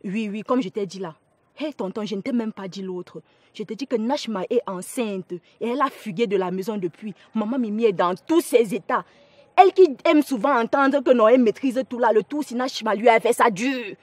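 A woman's voice: a little high, moderate pace, darkish tone, breathy edge, no echo. A woman with a Cameroonian accent playing an evasive, pleading young gossip in a family drama. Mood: gossipy, reassuring.

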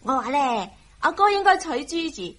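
An actress playing a sassy, teasing younger sister from a wealthy family in a Hong Kong Cantonese radio drama. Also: female voice, sits high, big pitch swings, moderate pace, fairly bright tone. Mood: cheeky, grumbling. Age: young adult. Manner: teasing, exaggerated.

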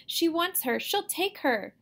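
A shrill little girl, acted by a woman narrator, high and loud, wails and pleads stubbornly in a storybook reading. She sounds hysterical and desperate. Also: big pitch swings, drawn-out words, gravelly, heavily acted. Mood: frantic.

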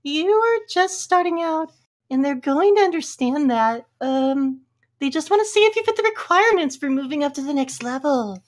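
A woman reading forum posts aloud for a comedy podcast in a halting, mock-earnest way. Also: sits high, expressive. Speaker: podcast reader.

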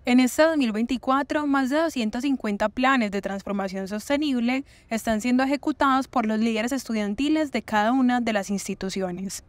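Woman narrator, slightly high voice. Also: normal speed, few pauses, no echo. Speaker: news report narrator. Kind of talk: scripted voice-over narration.

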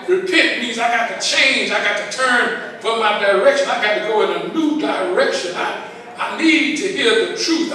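Older Southern US pastor, very high voice, calls and responses, booming church hall heard from far off. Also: male voice, bright, forceful tone, a little hiss or hum. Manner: preaching with call-and-response, drawn-out emphasis. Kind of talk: sermon. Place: large church sanctuary with congregation. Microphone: handheld mic. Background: congregation calling back.

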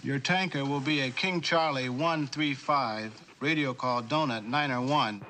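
A man playing a brisk station ship radio operator in a 1960s drama, speaking in formal, precise procedure. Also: mid-range voice, sharp tone. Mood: businesslike. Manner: formal, precise reading of coordinates. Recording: old soundtrack with clear background noise.

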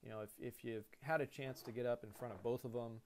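A man in his thirties, an airline pilot, talks through a cockpit layout in a relaxed, conversational way full of fillers and restarts, recorded at a computer. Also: mid-range voice, fast talker, slight background noise. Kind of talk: casual screen-share lesson. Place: indoors at a computer.

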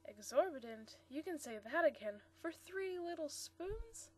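A woman reading the part of a good-humored young man who turns brisk and businesslike. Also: fairly high pitch, sharp tone. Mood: jovial. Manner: jovial, businesslike.

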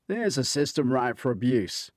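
Loud, blunt ex-military guy, acted by a man. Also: medium pitch, very animated, normal speed, no echo, clean studio sound, voice pushed up. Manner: casual, blunt. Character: loud, informal, blunt male ex-military investigator.

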